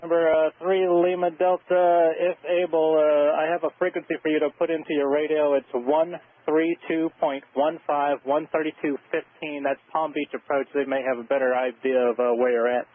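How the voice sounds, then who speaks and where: A man working as an air traffic controller, giving procedural instructions over aviation radio in a steady way with halting pauses. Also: on the high side, narrow, tinny audio.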